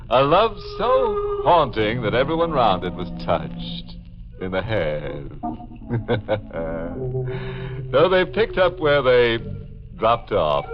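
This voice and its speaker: An actor playing a ghoulish horror show host on old radio, drawling sardonic, macabre puns. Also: male voice, fairly low pitch. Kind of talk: 1940s radio horror hosting. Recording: muffled vintage radio with loud music.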